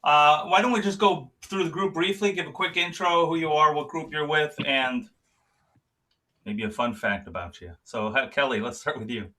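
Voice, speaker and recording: Bright-voiced man, a bit off-mic. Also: fairly high pitch, moderate pace, recorded from a distance, slight background noise. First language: German. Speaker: meeting chair and coordinator. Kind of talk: informal self-introduction in an online meeting.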